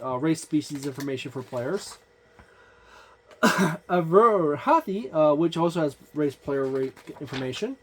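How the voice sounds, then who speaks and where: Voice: mid-range voice, a little mellow, slight background noise. Casual hobby reviewer, unscripted listing delivery, lots of fillers and self-corrections. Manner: halting, frequent "uh" fillers and restarts while listing entries; offhand, informal wording. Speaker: tabletop game book reviewer. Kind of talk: casual page-by-page book overview.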